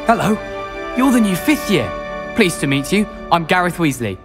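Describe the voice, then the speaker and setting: A fairly high-voiced British teenage wizard in a fantasy game. He is friendly and chatty in short clipped phrases, over faint music. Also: very animated, normal speed. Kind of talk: scripted game dialogue.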